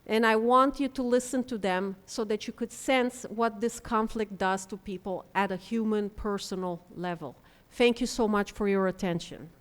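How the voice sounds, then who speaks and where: A woman giving a conference talk, halting now and then with ums and restarts as she speaks. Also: mid-range voice, fairly even intonation. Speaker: panel speaker for a refugee housing group. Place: conference room. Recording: long hall reverb.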